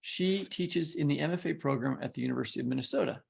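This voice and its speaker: A man with heavy vocal fry and a slightly raised pitch hosts a casual podcast. He talks in a loose, rambling way, often correcting himself, and likes to tease. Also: quick pace. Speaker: podcast co-host and interviewer. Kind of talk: casual podcast conversation between colleagues.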